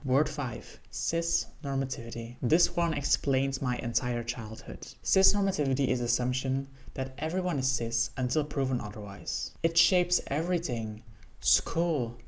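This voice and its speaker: A woman with a lower-pitched voice, sharing personal reflections in an educational vlog, earnest and candid. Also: a little mellow. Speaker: vlogger.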